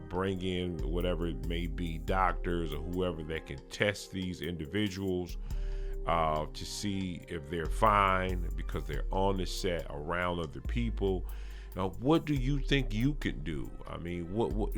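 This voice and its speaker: A man hosting a podcast, informally announcing an upcoming show and speaking directly to listeners in a halting way. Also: sits low, fairly bright tone. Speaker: podcast host.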